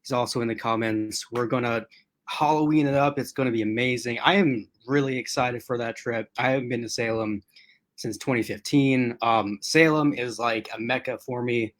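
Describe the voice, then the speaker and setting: A man's voice: medium pitch, moderate pace. Chatty man losing his voice. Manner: chatty and rambling, addressing chat commenters. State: losing his voice, clearing throat. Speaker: host. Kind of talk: casual livestream chat.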